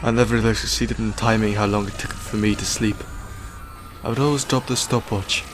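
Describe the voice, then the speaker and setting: Guy acting sardonic time-traveller hero in an audio drama, lowish voice, taunting, music underneath. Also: fairly even intonation, fairly quick, natural voice. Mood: mocking.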